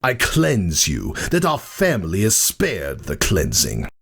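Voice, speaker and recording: A fierce pegasus warrior voiced by a man from a dramatic fantasy storybook. He declaims in a menacing growl, proud and merciless. His delivery swings widely in pitch, with faint music underneath. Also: wildly swooping pitch, slow pace, a bit bright, slightly rough, full character voice. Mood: cold menace.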